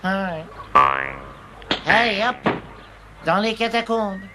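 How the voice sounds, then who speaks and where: A woman's voice: medium pitch, fairly even intonation, slow pace, lightly acted. A woman voicing a small, cheeky, always hungry animal sidekick in a cartoon, whiny and theatrical. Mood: grudging. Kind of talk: animated cartoon dub acting. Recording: noisy soundtrack with effects.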